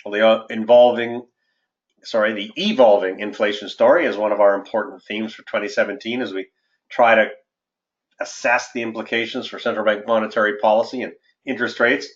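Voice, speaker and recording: A man, an investment adviser hosting a webinar and presenting market commentary in a formal, measured way. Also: on the low side, fairly even intonation. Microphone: conference call line. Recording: dull, lo-fi conference-call audio.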